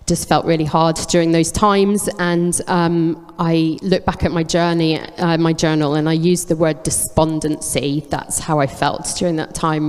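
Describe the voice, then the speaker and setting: A woman's voice: low-pitched, a little mellow, clear, resonant tone. A woman in her forties from England, giving a candid, reflective faith testimony on stage with frequent ums. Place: church hall. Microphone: handheld mic, cutting in and out. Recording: echoey church hall with long reverb.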